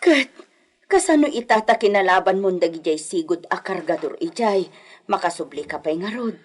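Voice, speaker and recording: A Filipino-accented woman playing a worried, protective mother in a radio comedy-drama, coaxing and fretting in a husky voice. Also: on the low side, expressive, normal speed. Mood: worried, scolding.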